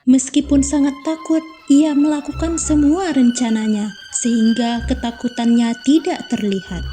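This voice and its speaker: A young woman from Indonesia, a student, calmly narrating a children's fable. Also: sits high. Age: young adult. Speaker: student storyteller. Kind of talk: scripted children's story narration.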